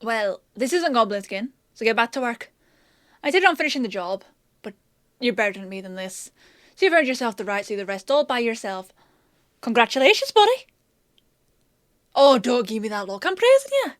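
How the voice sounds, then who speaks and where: A woman playing a flustered, gruff but well-meaning fantasy apothecary in a scripted audio roleplay, with a hesitant, emotive, conversational delivery. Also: on the high side, big pitch swings, a little fast, long pauses, dry recording, studio quality. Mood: tense, then warmly praising.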